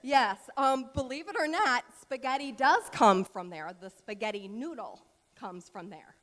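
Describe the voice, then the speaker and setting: A woman's voice: on the high side, a little slow, fairly bright tone. An American woman giving an informal educational talk at a church service, chatty and rambling with many fillers and asides. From United States. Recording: long reverb of a large hall.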